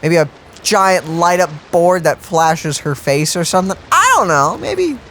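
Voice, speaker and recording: A man's voice: high voice, sing-song, measured pace, a bit bright, slight background noise. A man doing casual let's play banter with joking, sarcastic asides. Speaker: gaming video co-host. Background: game music.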